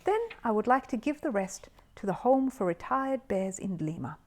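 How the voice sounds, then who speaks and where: A woman's voice: medium pitch, animated, normal speed, darkish tone, natural voice. A woman voicing a polite, earnest small male bear in a children's storybook reading. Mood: earnest, thoughtful.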